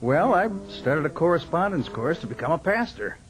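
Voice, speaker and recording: Animated, earnest American farm neighbor. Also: male voice, on the high side, moderate pace, soft background music. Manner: mild, folksy, earnest. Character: friendly, devout farm neighbor studying to be a pastor. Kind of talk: radio drama dialogue.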